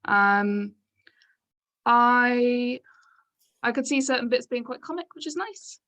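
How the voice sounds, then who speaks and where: A woman's voice: a little high, slow pace, fairly bright tone. A woman talking casually as she gives her feedback on a script as one of its readers.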